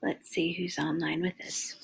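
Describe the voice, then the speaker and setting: A woman hosting a read-along, reading a children's picture book aloud with animated, exaggerated emphasis for young listeners. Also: on the low side, a little slow, creaky, breathy edge.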